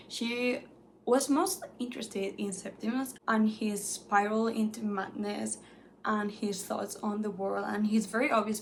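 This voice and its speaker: Chatty young woman with vocal fry. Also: medium pitch, a little hiss or hum. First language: Spanish. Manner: chatty and animated, many "like"s, light laughs. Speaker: book reviewer. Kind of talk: casual chat to camera.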